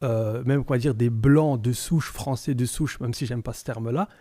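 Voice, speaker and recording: A young man speaks casually as a podcast guest. His voice is mellow and mid-pitched, recorded dry and close to the mic. His talk is informal and slangy, full of small repeats. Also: normal speed, no echo. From France. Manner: informal, slangy, repeats words as he searches for them. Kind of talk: casual interview chat.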